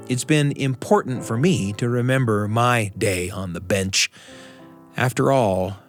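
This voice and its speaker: Deliberate male radio storyteller over faint music. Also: fairly low pitch. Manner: deliberate phrasing with dramatic pauses. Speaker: radio show host and storyteller. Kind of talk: reflective personal storytelling and hosted studio conversation. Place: radio studio control room.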